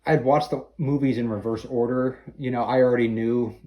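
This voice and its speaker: Mid-pitched man thinking aloud in casual chat. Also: steady tone, brisk, mellow. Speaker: podcast guest.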